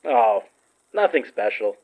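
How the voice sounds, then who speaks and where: A teasing, very animated young man. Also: medium pitch, slow, narrow, tinny audio, exaggerated voice. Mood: playful, candid. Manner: playful, expressive, reassuring. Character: Murphy, teasing, affectionate, open-hearted young friend and ex. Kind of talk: naturalistic audio drama acting.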